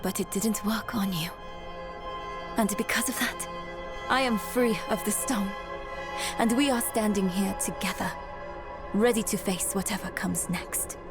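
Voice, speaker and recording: An actress playing a weary but resolute young adventurer in a fantasy game, subdued and earnest. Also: female voice, mid-range voice, measured pace, lightly acted. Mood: gentle, resolute. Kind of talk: dramatic fantasy game acting. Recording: music under the voice.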